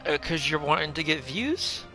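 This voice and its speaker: A man chats loosely while livestreaming a game, rambling, joking at his own expense and swearing offhandedly. His voice is gravelly with a bright, forward edge. Faint game music sits in the background. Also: fairly high pitch, animated, normal speed. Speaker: game streamer. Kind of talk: casual livestream chat.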